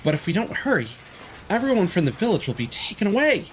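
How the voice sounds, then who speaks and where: A scared little kid from a game story, voiced by a man, pitched well up high. The delivery is anxious and childlike, swinging around a lot. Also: sing-song, fast talker, slight background noise, poor audio. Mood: worried. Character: frightened, timid little village child. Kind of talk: video game character dialogue.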